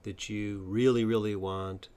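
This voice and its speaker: A man with a lowish voice interviews a guest. He talks emphatically and leans on key points by slowing down. His tone is conversational. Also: little pitch movement, mellow, clean tone. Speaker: interviewer and stuttering coach.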